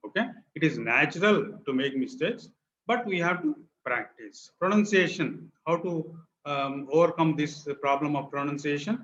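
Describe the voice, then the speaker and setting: A man's voice: a little high, lively intonation, fairly quick, a bit bright, dull audio, a little hiss or hum. A man with a Telugu-flavored Indian accent, a college English lecturer who teaches a class, repeats his points for emphasis and quizzes his students.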